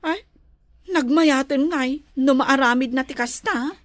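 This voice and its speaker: A woman's voice: high voice, a little slow, no echo. A woman playing a naive, eager young single mother, plaintive and questioning, in an Ilocano radio drama. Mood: hopeful, questioning.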